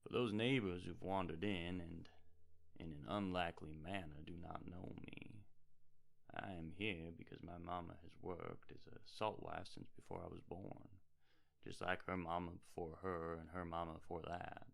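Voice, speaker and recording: A man's voice: low-pitched, fairly even intonation, normal speed, vocal fry. A man playing an easygoing travelling bard from a fantasy world, hosting a radio hour with folksy, wordy, in-character storytelling. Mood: welcoming, reflective.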